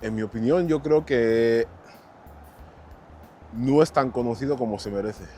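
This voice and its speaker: A man's voice: mid-range voice, unhurried, dark tone. A man speaks as a documentary interviewee, giving his opinion in a considered way. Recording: music under the voice.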